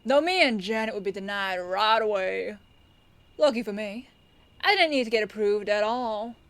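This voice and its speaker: A woman playing a composed police detective in an audio roleplay, firm yet kindly, with a measured, folksy, knowing delivery. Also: medium pitch, a bit bright, dry recording, lightly acted. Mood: smug, explaining.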